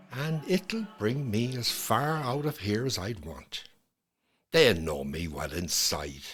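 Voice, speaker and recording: A man's voice: on the low side, a bit bright, husky, full character voice. An actor playing a lonely, nostalgic old Irish man in a reflective, lyrical stage monologue. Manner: wistful, lyrical, measured with lingering pauses. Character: lonely, nostalgic old widower, quietly ruminating. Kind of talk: dramatic monologue, scripted first-person storytelling.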